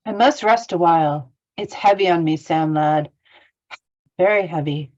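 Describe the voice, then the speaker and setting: A woman's voice: lowish voice, unhurried, a little mellow, muffled recording, lightly acted. A woman playing a weary, burdened young male hobbit in a script read, plaintive in manner.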